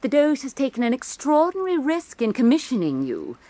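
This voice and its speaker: A woman's voice: sits high, huge pitch swings, a little fast, warm tone, no room sound. An actress playing an elegant, insinuating woman art critic of Renaissance Venice, arch and mannered, in a theatrical radio drama. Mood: smooth, persuasive. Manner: arch, mannered, lingering, condescending.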